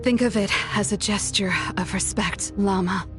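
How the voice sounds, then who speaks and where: An actress playing a proud, bitter young renegade in a video game, with a cutting, dramatic delivery. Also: female voice, mid-range voice, moderate pace, over music, lightly acted. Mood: wry, resigned. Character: proud, bitter young woman who deserted her clan. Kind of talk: video game character dialogue.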